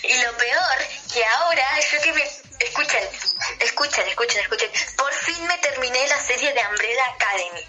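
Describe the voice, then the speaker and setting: A woman's voice: high-pitched, lively intonation, normal speed, bright, forceful tone, faint music behind, poor audio. A young woman with an Argentine accent, chatting informally as a radio co-host, chatty and given to exaggeration. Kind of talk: casual chat, film and series recommendations.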